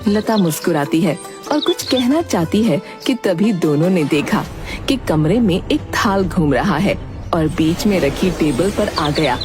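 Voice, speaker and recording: A woman's voice: lowish voice, lively intonation, measured pace, over music. A woman reading scripted story narration as the narrator.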